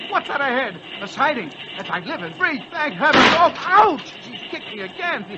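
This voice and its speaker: Timid, excitable, high-voiced fellow. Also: male voice, normal speed. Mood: panicked. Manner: flustered, stammering, deferential. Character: timid, excitable, polite man turned locomotive. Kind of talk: 1940s radio acting. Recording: dull, noisy vintage radio recording.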